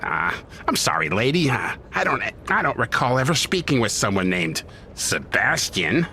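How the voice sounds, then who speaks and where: A man's voice: mid-range voice, big pitch swings, moderate pace, pushed and bright, a little hiss or hum. A man playing a nervous, evasive elderly administration clerk, hesitant and defensive, in an audio drama. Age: older.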